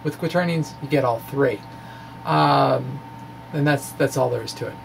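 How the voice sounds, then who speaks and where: A man giving an informal, animated explainer of his own material, with wry asides and a gravelly edge to his voice. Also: mid-range voice, normal speed, a little hiss or hum, rough recording. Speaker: physics blogger presenting his own puzzles. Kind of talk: informal explainer, reading and discussing a blog.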